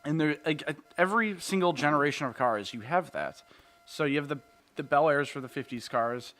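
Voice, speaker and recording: A man's voice: a little high, a little fast, a bit bright. A man co-hosting a car podcast in casual chat, rambling with frequent ums and easy laughs. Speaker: podcast co-host.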